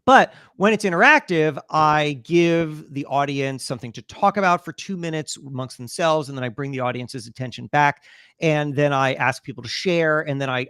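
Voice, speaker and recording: A man speaking as an interview guest, telling stories conversationally and acting out bits of dialogue in an animated way. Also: a little high, gravelly, no echo, studio quality.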